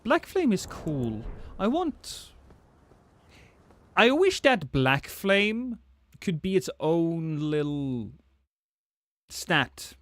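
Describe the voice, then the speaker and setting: A man's voice: on the high side, sing-song, drawn-out words, long pauses, fairly bright tone, no echo. Norwegian man with British-tinged accent. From Norway. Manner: chatty, self-deprecating, many restarts. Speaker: streamer. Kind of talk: casual gameplay livestream chat.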